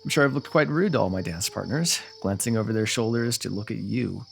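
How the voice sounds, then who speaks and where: A man's voice: on the low side, brisk, gravelly, soft background music. A man playing a teasing, lovestruck royal ruler with courtly, formal phrasing in an ASMR fantasy roleplay. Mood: teasing, smitten.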